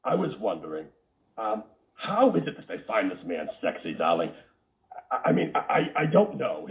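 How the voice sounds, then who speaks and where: A man puts on a flamboyant talk-show host with a Boston accent, who gushes and drops "darling" into every line. His deep voice swoops wildly up and down. It comes through a tinny, phone-like line. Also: creaky, full character voice. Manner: gushing, calls everyone darling, camp asides. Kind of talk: comedic character interview.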